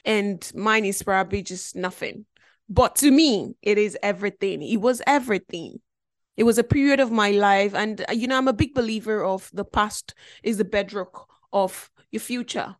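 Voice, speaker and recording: A woman's voice: mid-range voice, moderate pace, no echo. Chatty woman, close and dry. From Nigeria, later lived in Belgium. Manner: chatty, emphatic, repeats phrases for emphasis. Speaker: podcast host. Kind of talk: casual, reflective personal monologue.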